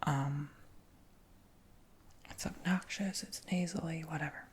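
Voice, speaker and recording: Whispering man with a light, high voice. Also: steady tone, takes it slowly, breathy edge. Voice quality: whispering throughout. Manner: rambling, chatty, frequent ums and likes. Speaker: ASMR creator. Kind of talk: whispered ASMR storytime chat.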